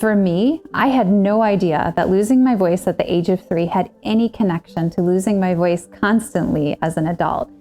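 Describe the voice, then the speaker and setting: A woman's voice: on the low side, moderate pace, hardly any pauses, warm tone, soft background music. A woman in her thirties earnestly telling her own story as a client and coach, in a scripted testimonial. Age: 30s.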